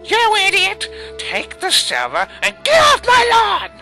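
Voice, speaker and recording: A woman's voice: very high voice, wildly swooping pitch, measured pace, over music, exaggerated voice. A woman voicing a pushy, cranky old hag in a comic fantasy game dub, bossy and drawling. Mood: cranky, greedy.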